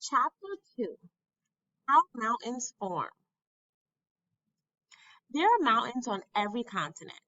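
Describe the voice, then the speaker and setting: A woman reading a children's science book aloud with deliberate, emphatic phrasing, like a teacher for young learners. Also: on the high side, fairly bright tone, no echo, muffled recording. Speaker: school staff member reading a textbook aloud.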